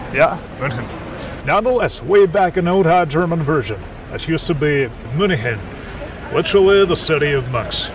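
Young man, street noise. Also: on the high side. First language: Russian. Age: young adult. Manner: wry, irreverent, playful asides. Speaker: vlogger. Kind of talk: casual educational vlog. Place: outdoors on city streets and squares. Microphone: handheld camera at arm's length.

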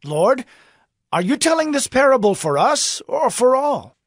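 A man's voice: very high-pitched, very animated, measured pace, no room sound, studio quality, exaggerated voice. An actor playing an eager, questioning male disciple in a scripture audio drama.

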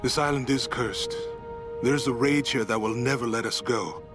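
A man's voice: mid-range voice, moderate pace, music in the background, close to an everyday voice. An actor playing a solemn, haunted, mystical man, brooding and poetic in a game monologue. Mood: grim resignation. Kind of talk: dramatic game voice acting, monologue.

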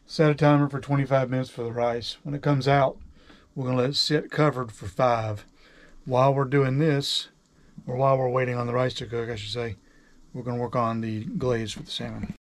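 A man in his forties giving a casual, halting, step-by-step cooking demonstration in a small indoor space. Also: mid-range voice, fairly even intonation. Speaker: vlogger, home cook. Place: small boat galley, indoors. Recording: clear background noise, clicks.

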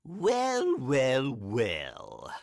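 A man voicing a strange, gloating villain with a drawn-out, smug menace. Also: medium pitch, wildly swooping pitch, gravelly, darkish tone, no room sound, clean studio sound, heavily acted.

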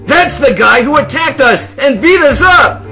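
A man reading a story aloud, voicing a bruised, angry male henchman who yells accusations. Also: extremely high pitch, lively intonation, measured pace, soft background music, pitched above the performer's own voice, exaggerated voice. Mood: accusing. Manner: yelling, accusing. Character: beaten, angry male fire breather henchman.

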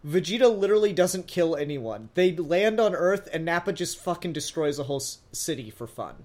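A man's voice: on the high side, expressive, fairly quick, darkish tone. A man co-hosting a casual review podcast, talking enthusiastically and swearing freely. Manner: enthusiastic, profane. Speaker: podcast co-host.

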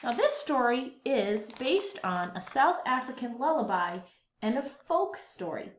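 A woman reading a children's story aloud with expressive, dramatic, emphatic phrasing. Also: fairly high pitch, unhurried, a little hiss or hum. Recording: dull lo-fi, roomy echo.

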